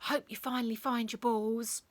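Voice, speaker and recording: A woman's voice: a little high, flat delivery, moderate pace, no room sound, pitched above the performer's own voice, lightly acted. A woman voicing a cheeky schoolgirl trickster from a storybook, slyly polite and mock-innocent. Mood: sly politeness.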